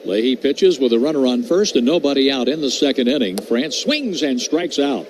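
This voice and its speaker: A man doing measured, descriptive live play-by-play of a baseball game as a radio announcer. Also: mid-range voice, lively intonation, a little mellow, poor audio. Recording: clear background noise at ballpark.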